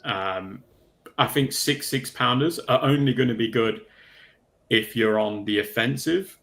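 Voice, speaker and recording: A man's voice: mid-range voice, measured pace, vocal fry, a bit bright. A man talking casually in a livestream panel discussion, going into detail in a pedantic way. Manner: detailed, self-confessedly pedantic.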